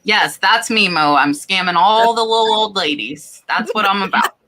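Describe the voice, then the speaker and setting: A woman's voice: medium pitch, normal speed, sharp tone. A woman from Florida with an American accent, hosting a casual live chat and answering viewer questions with blunt, practical advice. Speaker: host of a reseller live show.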